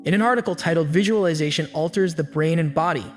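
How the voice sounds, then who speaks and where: A man giving scripted self-help narration with an even, steady storytelling delivery. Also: a little high, fast. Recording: long hall reverb with faint music. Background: faint background music.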